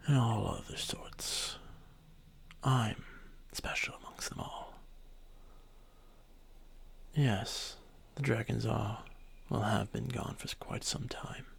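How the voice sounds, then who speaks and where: An ancient, domineering male dragon, acted by a man for fantasy ASMR roleplay. He half-whispers with a gravelly creak, dragging his words out very slowly in a haughty, deliberate manner. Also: medium pitch. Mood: proud, instructive. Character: arrogant ancient dragon, male, thousands of years old, domineering.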